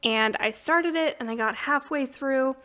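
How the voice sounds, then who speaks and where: A woman's voice: sits high, measured pace, a bit bright. A woman hosting a podcast in a casual book chat, talking informally and chattily and laughing readily. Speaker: podcast host.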